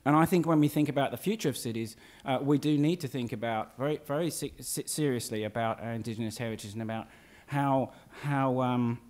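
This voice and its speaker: A middle-aged man speaks at a conference lectern, his voice husky from a cold. He stops and restarts often, filling gaps with ums. The lecture theatre is large. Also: medium pitch, fairly quick, a little mellow. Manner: hesitant, many fillers and restarts. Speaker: academic speaker. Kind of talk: conference talk. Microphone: lectern microphone.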